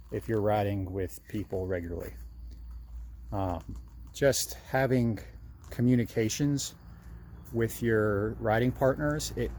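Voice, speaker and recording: Low-pitched man giving a casual review. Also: a little mellow, slight background noise. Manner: plain-spoken, conversational. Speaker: product reviewer. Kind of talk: casual hands-on product review.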